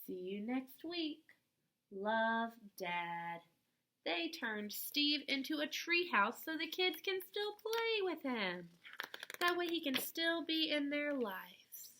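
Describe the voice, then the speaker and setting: A woman reading a picture book aloud to young children in an expressive, deliberate way, like a teacher at storytime. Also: sits high.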